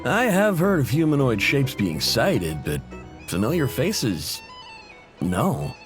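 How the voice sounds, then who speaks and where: Casting: easygoing game machinist, mid-pitched, lively and expressive, modest and chatty, music underneath. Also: male voice, slow. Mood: thoughtful. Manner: affable, conversational, self-deprecating. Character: friendly, chatty male machinist, easygoing and modest family man. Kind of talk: video game character acting. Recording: music behind the voice.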